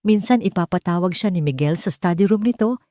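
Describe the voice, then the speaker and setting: Low-pitched woman narrating a dramatic story. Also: lively intonation, brisk, warm tone, no echo, clean studio sound. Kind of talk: scripted narration of a Tagalog romantic drama audio story.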